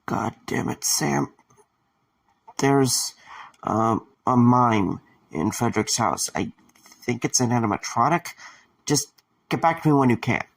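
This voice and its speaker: An actor playing a weary, sarcastic man under attack in an audio drama, talking in a dry, halting way. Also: medium pitch, animated, creaky. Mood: rattled, annoyed.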